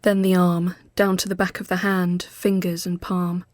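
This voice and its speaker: A woman reading a literary ghost story as scripted narration, measured and often hushed as phrases end. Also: fairly low pitch, little pitch movement, no room sound, clean studio sound.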